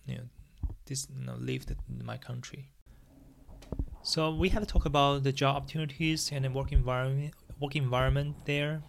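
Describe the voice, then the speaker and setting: Gravelly-voiced man hosting a solo podcast. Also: fairly high pitch. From Taiwan. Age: 40s. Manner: earnest, plain, with frequent restarts in non-native English. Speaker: podcast host.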